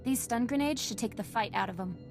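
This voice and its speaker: An actress playing an eager, capable junior corrections officer in a video game, giving crisp technical reports. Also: female voice, a little high, fairly quick, music in the background. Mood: helpful, earnest.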